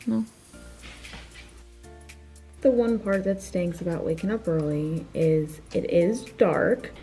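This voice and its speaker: A young woman vlogging at home, chatty and relaxed, walking through her evening and morning routine and talking to her viewers. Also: lowish voice, takes it slowly, soft background music. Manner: chatty, relaxed, says "like" and "you guys" often. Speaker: lifestyle vlogger. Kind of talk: casual chat to camera, showing a routine. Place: her home, bedroom and kitchen.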